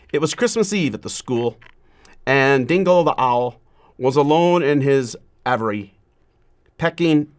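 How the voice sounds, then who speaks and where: A man reading a children's story aloud in a measured, deliberate way, pausing often between phrases. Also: mid-range voice.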